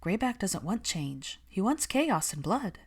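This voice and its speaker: A woman's voice: mid-range voice, very animated, measured pace, husky, full character voice. Lady reading as an earnest guarded witch in an audiobook, bit weary, stubborn. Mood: firm, guarded. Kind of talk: acted audiobook dialogue.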